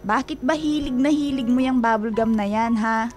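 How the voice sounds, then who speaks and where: A woman's voice: on the high side, moderate pace, darkish tone, soft background music, natural voice. An actress playing a chatty, teasing middle-aged woman storekeeper in a Filipino radio drama, with motherly familiarity. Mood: fond, chatty.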